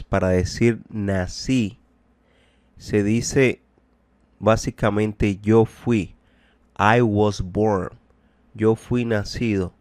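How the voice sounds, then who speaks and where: A man teaching a bilingual language lesson, deliberately explaining, translating and repeating phrases. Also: fairly low pitch, a little mellow, clean tone, dry recording. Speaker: English teacher.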